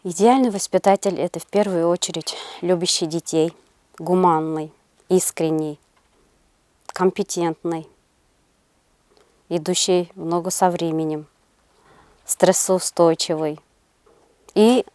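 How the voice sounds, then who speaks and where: Female interview guest, middle-aged educator, lowish voice, halting and considered, very dry sound. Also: fairly even intonation, dark tone, dry recording. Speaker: interview guest, senior kindergarten teacher. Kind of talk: interview answers.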